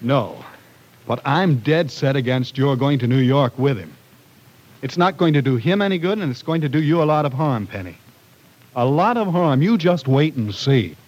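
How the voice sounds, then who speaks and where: Guy playing young protective brother in an old radio play, mid pitch, blunt, doesn't buy it. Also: animated, normal speed, a little mellow, natural voice, rough recording. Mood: firm, protective. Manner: blunt, doubting. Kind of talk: old-time radio drama acting.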